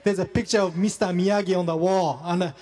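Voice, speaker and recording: Guy doing standup, high voice, lots of uh and restarts, echoey club hall. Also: fairly even intonation, noisy background. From Japan. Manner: self-deprecating, halting delivery with many fillers and restarts. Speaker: stand-up comedian. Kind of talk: stand-up comedy set.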